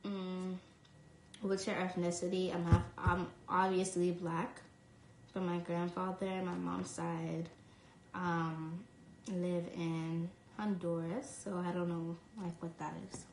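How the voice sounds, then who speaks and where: A woman's voice: lowish voice, flat delivery, slow, a little mellow, a little hiss or hum. Young woman, casual live-stream chatter, full of fillers. Phone mic, echoey room. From New York. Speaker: live streamer answering fan comments. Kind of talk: casual chat.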